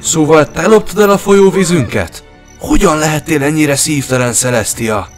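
Man playing a heartfelt young village man, lively intonation. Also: on the high side, soft background music, close to an everyday voice. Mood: hurt, accusing. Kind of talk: animated fairy tale dub.

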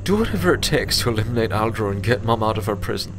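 A man's voice: fairly low pitch, lively intonation, fast talker, music in the background. A man voicing a grave, earnest young filly pony who explains things precisely and wordily in a storybook reading. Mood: stern, pleading. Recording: clear background noise.

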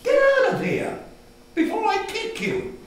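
A man's voice: extremely high pitch, animated, measured pace, voice pushed up, full character voice. An older man voicing a disgusted, bullying boy, a storybook brother with a jeering, threatening manner. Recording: echoey hall from far off, some noise.

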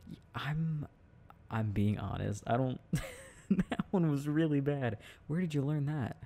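A young man doing an intimate, casual boyfriend roleplay, playful and affectionate, talking in a halting, giggly way. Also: mid-range voice, warm tone. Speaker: boyfriend roleplay audio performer.

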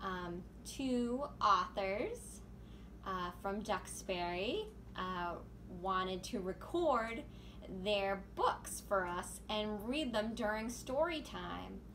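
A woman in her thirties informally hosting a children's storytime, pausing as she addresses young listeners. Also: mid-range voice, lively intonation, fairly bright tone. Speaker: children's library storytime host. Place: small room indoors. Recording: noisy home recording.

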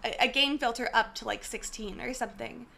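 A young woman in her twenties chatting casually on a gaming live stream, her voice slightly hoarse and worn, talking from a small room into a mic set far from her with the gain turned up. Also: fairly high pitch, steady tone, fairly quick, bright, forceful tone, a little hiss or hum. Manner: chatty, apologetic, greets viewers by name. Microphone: desk mic set far from her, gain turned up.

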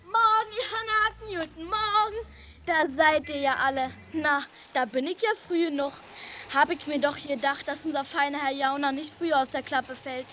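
A female performer playing a perky, bossy, cheeky young girl with a brisk manner in a 1960s radio play. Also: high voice, fairly even intonation, bright, forceful tone. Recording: faint background noise.

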